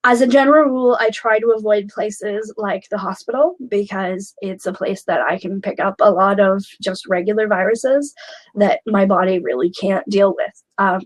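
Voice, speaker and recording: A young woman hosting a live question-and-answer chat, talking candidly with many pauses and fillers, a little snuffly from a flu. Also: medium pitch, no room sound, rough recording. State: snuffly, getting over a flu. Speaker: host of a live question stream.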